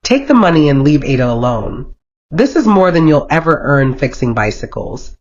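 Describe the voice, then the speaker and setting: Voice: medium pitch, big pitch swings, moderate pace. A storyteller voicing a cold male messenger, blunt and dismissive, in a folktale. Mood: cold dismissal. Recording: dull, lo-fi sound.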